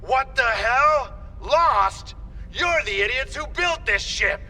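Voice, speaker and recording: An actor playing a hot-tempered, impatient soldier aboard a spaceship in a sci-fi video game, barking abrasively over music. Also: male voice, extremely high pitch, animated, slow, a little hiss or hum, full character voice, low-quality recording. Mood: exasperated. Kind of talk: English-dubbed sci-fi video game cutscene acting. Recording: loud music behind the voice.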